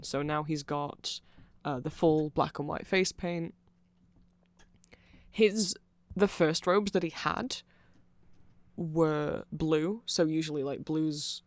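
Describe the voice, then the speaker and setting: A man's voice: on the high side, dry recording. A man giving a casual ASMR explainer, rambling along with nerdy enthusiasm. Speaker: ASMR audio creator.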